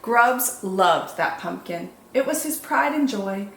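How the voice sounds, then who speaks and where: A woman reading picture books aloud as a children's storytime host, expressive and deliberate, with drawn-out dramatic emphasis. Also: mid-range voice. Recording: echoey room, faint background noise.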